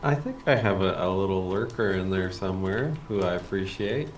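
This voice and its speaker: A man's voice: low voice, expressive, normal speed, reverberant, slight background noise. A man co-hosting a casual gaming livestream, cracking dry teasing jokes and laughing a lot. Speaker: streamer co-host.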